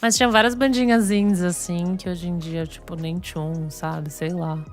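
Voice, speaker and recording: A woman's voice: fairly low pitch, moderate pace, faint music behind. Bubbly young woman in casual chat. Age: 20s. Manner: bubbly, expressive, laughs often. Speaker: podcast co-host.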